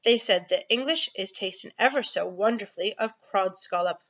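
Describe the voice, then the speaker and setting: A woman's voice: medium pitch, moderate pace, fairly bright tone, highs cut off, natural voice. A woman voicing a friendly, boastful storybook giant who talks in mangled grammar and invented comic words. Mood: earnest, defensive.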